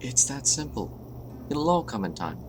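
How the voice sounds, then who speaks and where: Man voicing calm unicorn dad, medium pitch, reassuring, cartoon drama, noisy ambient background. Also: unhurried.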